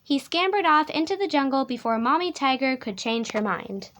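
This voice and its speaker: High-voiced woman reading a children's storybook. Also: lively intonation, normal speed, a bit bright.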